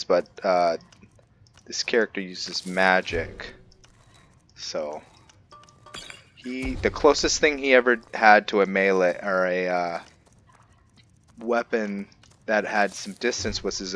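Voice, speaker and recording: A man's voice: sits low, a bit bright, a little hiss or hum. A man giving casual gameplay commentary, thinking aloud and musing to himself as he goes. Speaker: gameplay video host.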